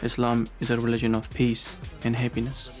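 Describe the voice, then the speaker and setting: A young man from Afghanistan who speaks. He talks in an earnest, relaxed way, keeping his pitch level and flat. Clear music plays behind his voice. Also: brisk. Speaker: university student. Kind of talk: documentary interview and street chat.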